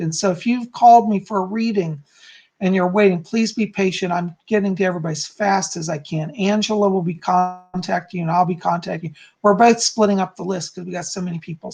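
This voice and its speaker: A man with a hoarse, slightly raspy voice, chatting casually from home as a psychic hosting his live stream and greeting viewers effusively. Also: high voice, a little mellow. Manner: chatty, effusive, frequent "okay?" and "you know".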